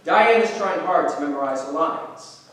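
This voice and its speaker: A man narrates a script with stage directions. His voice sits a little high for a man. He is heard from some distance in a strongly reverberant hall. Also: animated, moderate pace, fairly bright tone. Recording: echoey hall, distant mic.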